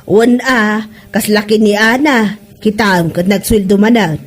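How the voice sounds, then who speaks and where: A woman's voice: medium pitch, steady tone, measured pace, soft background music, natural voice. A woman with an Ilocano accent acting a chatty, teasing village neighbour in a comedy drama.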